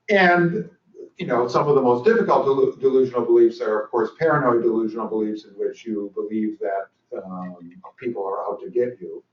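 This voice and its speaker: An older man lectures at a training session, talking loosely with many fillers and dry asides. He is heard from some distance across a reverberant room. The recording is dull and muffled. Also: fairly low pitch. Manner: dry humor, frequent fillers and restarts. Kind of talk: conference lecture.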